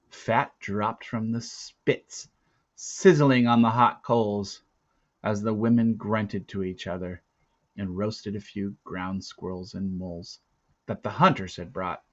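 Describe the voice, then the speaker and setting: A man reading a children's novel aloud with deliberate, dramatic phrasing. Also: on the low side, steady tone, muffled recording. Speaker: story reader. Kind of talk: expressive read-aloud narration of a children's novel.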